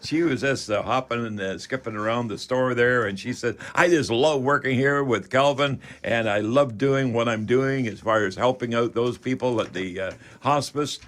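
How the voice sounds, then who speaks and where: A man's voice: medium pitch, moderate pace, few pauses, fairly bright tone. An older man with a Canadian accent hosting a chatty radio call-in show in a folksy, rambling manner.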